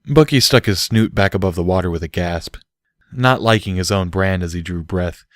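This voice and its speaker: A man's voice: low-pitched, normal speed, no room sound, studio quality. A man reading a story aloud as scripted audiobook narration. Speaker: audiobook reader.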